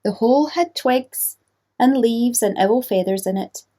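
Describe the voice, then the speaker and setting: A woman storyteller with a fairly high voice and an unhurried pace. She narrates for young children gently, in an inviting, interactive way. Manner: gentle, inviting listeners to join in.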